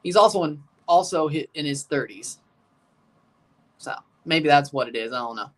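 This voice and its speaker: A man streaming a live match watchalong, chatting casually and calling goals with excitement while guarding a strained voice. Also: on the high side, moderate pace, distant mic.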